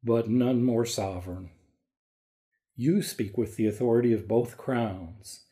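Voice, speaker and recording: A man playing a solemn, caring divine king of ancient Egypt in an audio drama, speaking in a measured, formal, regal way. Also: mid-range voice, mellow, close to an everyday voice. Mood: solemn, probing. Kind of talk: dramatised audio story reading.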